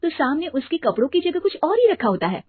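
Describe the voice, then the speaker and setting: Woman reading out a family story, animated, highish voice, very dry sound. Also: a little fast, no echo, phone-quality sound. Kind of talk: scripted narration of a comic family moral story.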